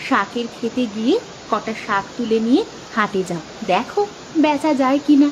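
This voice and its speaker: A woman's voice: on the high side, normal speed, a little hiss or hum, natural voice, rough recording. A woman voicing a practical, reassuring village housewife in a colloquial cartoon folk tale. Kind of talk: acted cartoon dialogue.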